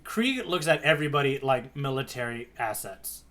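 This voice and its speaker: A man's voice: mid-range voice, big pitch swings, moderate pace, fairly bright tone. A man co-hosting a podcast, talking casually about manga. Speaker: podcast co-host.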